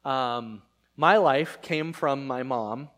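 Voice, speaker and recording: A male pastor in his thirties whose first language is Spanish talks to his church in an easy, meandering way. He fills pauses with ums and uhs and restarts his sentences. His voice sits at a middle pitch. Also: fairly bright tone. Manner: conversational, rambling with many fillers and restarts. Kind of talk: casual sermon.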